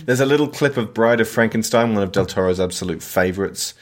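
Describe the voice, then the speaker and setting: A man hosting a conversational film discussion, emphatic and opinionated, sometimes halting as he searches for words. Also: fairly low pitch. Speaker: podcast host.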